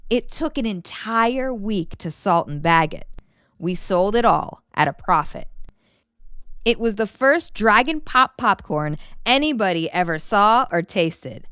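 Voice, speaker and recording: A woman reading a children's story aloud in an animated, dramatic way, drawing out words for emphasis. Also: mid-range voice, a little slow, clear, resonant tone, no echo, clean studio sound. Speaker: children's story reader and host.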